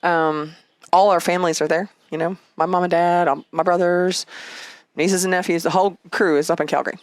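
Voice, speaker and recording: A woman's voice: on the low side, fairly even intonation, brisk, dry recording. A woman in her fifties from Canada, telling her life story as an interview guest in a casual, chatty and reflective way. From Canada and Egypt. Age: 50s.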